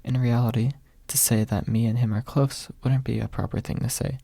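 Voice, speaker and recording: A man acts out a courteous young knight from a fantasy audiobook. He is formal and diplomatic, speaking softly in a creaky, husky voice with a dry sound. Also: mid-range voice, fairly even intonation, fairly quick, no echo. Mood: measured.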